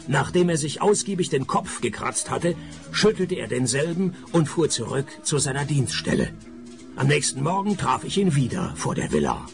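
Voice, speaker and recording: A man playing a sarcastic private detective in a radio crime comedy, narrating and talking with deadpan dryness. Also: medium pitch, normal speed, vocal fry, music in the background. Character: wry, sarcastic male private detective narrating his case.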